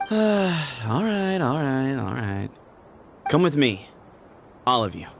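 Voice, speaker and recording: A man's voice: medium pitch, animated, slight background noise. A sly, drawling, teasing young man. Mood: coaxing. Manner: playful, mocking, unhurried drawl. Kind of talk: game cutscene voice acting.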